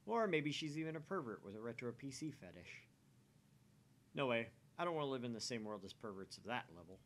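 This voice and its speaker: A man's voice: medium pitch, very animated, a little fast, a little hiss or hum, lightly acted. A man voicing a paranoid, grandiose young mad scientist, reading a visual novel aloud in a pompous, theatrical manner. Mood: exasperated, suspicious.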